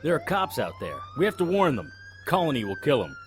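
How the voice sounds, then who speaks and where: A man's voice: sits high, huge pitch swings, a little fast, a little hiss or hum. A man playing a wisecracking monster hunter in an audio drama, speaking in a dry, sardonic, matter-of-fact way. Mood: urgent. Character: wisecracking middle-aged male monster hunter. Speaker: vampire hunter and narrator.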